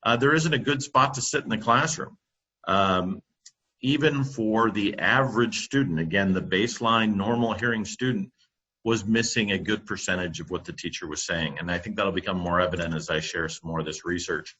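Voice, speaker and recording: A man gives a presentation into his computer microphone, his voice low-pitched yet bright, with a breathy, husky edge. He pauses often with fillers and restarts his sentences. The sound is dull and lo-fi. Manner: halting, many fillers and restarts. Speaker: company presenter on classroom audio. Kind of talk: webinar presentation.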